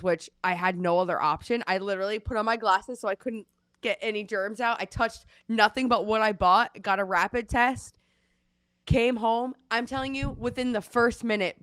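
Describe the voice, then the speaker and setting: A woman in her thirties, congested and losing her voice, chatting at home and telling a lively story over a video call. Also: mid-range voice, moderate pace, dry recording. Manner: chatty storytelling, animated retelling. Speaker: co-host. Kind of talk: casual chat. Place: at home in Seattle.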